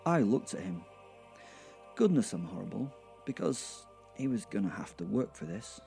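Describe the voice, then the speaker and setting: Gravelly, low-voiced man telling a wry tale. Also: very animated, mellow, faint music behind. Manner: wry, conversational, drawn-out emphasis. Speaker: narrator of a serial audio drama. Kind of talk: first-person comic horror storytelling.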